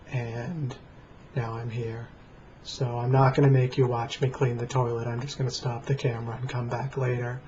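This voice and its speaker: An American man rambling casually and deadpan to camera in a plain, flat voice. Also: mid-range voice. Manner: deadpan, dry. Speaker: vlogger. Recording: dull lo-fi webcam sound, faint noise.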